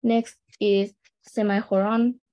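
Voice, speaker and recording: A woman's voice: mid-range voice, little pitch movement, takes it slowly, dark tone. A young adult woman, a student, reading a scripted class presentation aloud.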